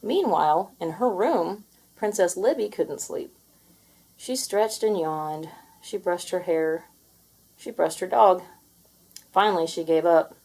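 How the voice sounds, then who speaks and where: A woman reading a children's story aloud in an expressive, deliberate storytelling manner. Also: fairly low pitch, gravelly, slightly rough. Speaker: storybook reader. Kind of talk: children's read-aloud narration with character voices.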